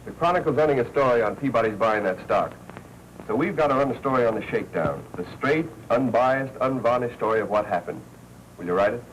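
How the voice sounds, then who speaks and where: An American actor playing a brisk, wisecracking newspaper editor in a 1940s noir film, clipped and commanding. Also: male voice, medium pitch, close to an everyday voice. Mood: brisk, decisive. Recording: muffled 1940s film soundtrack with hiss.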